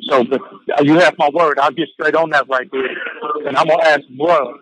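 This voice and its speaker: A man's voice: on the high side, fairly even intonation, normal speed, a bit bright. A man being interviewed in a casual phone chat, speaking emphatically in slangy phrases and often repeating himself. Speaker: interview guest. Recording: phone call audio.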